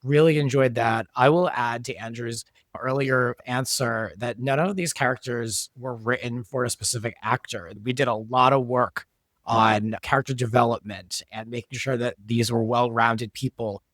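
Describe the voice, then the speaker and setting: Man, mid-pitched voice, interview guest, conversational, explanatory, dry close recording. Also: fairly even intonation, normal speed, a bit bright, dry recording. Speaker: creator, producer and director being interviewed. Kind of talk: interview talk.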